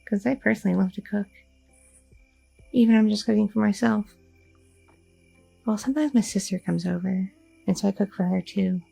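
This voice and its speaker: A young goth girlfriend, acted by a woman in an ASMR roleplay, chatty and teasingly curious. Her voice is soft-edged and mellow, with a husky grain and a crackle of vocal fry. She rambles casually in everyday slang. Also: mid-range voice, exaggerated voice. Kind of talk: intimate ASMR audio roleplay.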